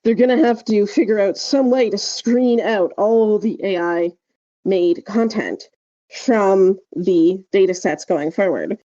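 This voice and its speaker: A woman's voice: medium pitch, slow, mellow, muffled recording. A woman hosting a podcast, explaining things casually and emphatically, peppering her talk with filler words and check-in questions. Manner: emphatic, hedging with frequent "like" and "right?". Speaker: podcast host.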